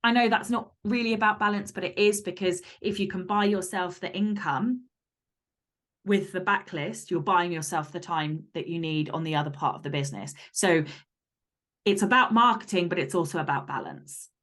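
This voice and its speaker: A woman's voice: on the low side, moderate pace, fairly bright tone. A woman speaking as an author guest in a casual interview, candid and emphatic, with some casual swearing.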